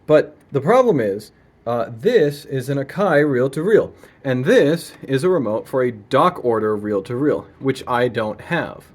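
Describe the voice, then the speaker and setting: A man's voice: medium pitch, sing-song, a little slow. A man hosting a casual, unscripted gear demonstration at home, laid-back and playful with dry humour. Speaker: video host demonstrating vintage audio gear. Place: indoors in a room at home.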